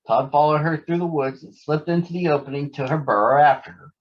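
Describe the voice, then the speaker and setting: A man's voice: fairly high pitch, a little fast, dull audio. A man narrating a children's story from a script as a storybook reader.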